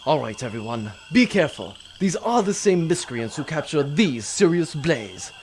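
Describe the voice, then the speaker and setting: A man playing a cocky, childish starship captain who gives brisk, flippant orders in a comic sci-fi audio drama. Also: on the high side, big pitch swings. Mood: commanding, mocking.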